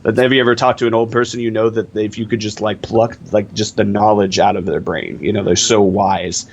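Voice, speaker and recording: A man's voice: on the low side, steady tone, a little fast, muffled recording, slight background noise. A man in his twenties, a guest in a casual online interview, talking in a laid-back, rambling way and laughing often. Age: 20s. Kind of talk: casual chat.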